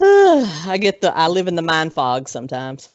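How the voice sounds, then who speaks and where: A woman's voice: deep voice, huge pitch swings, normal speed, a little mellow, no echo. Chatty woman in her forties, laughing. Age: 40s. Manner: chatty, laughs often, trails off. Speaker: podcast host. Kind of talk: casual chat. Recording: dry, dull lo-fi audio.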